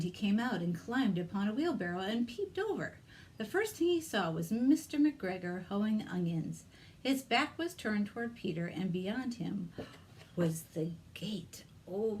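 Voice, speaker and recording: A woman telling a story to young children as a librarian at storytime, expressive, with chatty and sometimes whispered asides to her listeners. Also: mid-range voice, poor audio. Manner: asks young listeners simple questions. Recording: noticeable background noise.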